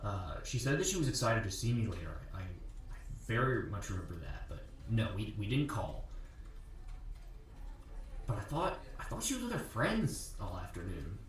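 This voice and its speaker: A man playing a guarded, hurt 24-year-old college athlete in an audio drama interview, speaking casually and haltingly. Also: fairly low pitch, big pitch swings. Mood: hesitant, guarded. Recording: very echoey, distant from the microphone.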